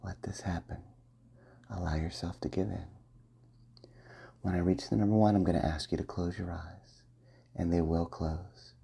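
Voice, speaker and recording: Low, gravelly, breathy-voiced male hypnotist. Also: steady tone. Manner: slow, soft, commanding, often half-whispered. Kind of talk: ASMR hypnosis roleplay.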